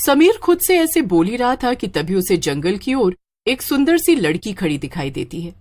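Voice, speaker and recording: A woman narrating a scripted horror story in a dramatic, expressive way. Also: medium pitch, normal speed.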